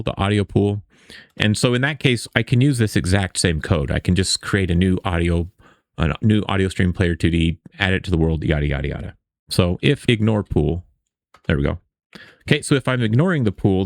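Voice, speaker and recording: A man walks through a coding tutorial in a casual, explanatory way. His voice sits fairly low and often crackles with vocal fry. It is recorded close and very dry, with no room sound. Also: no room sound. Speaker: tutorial instructor.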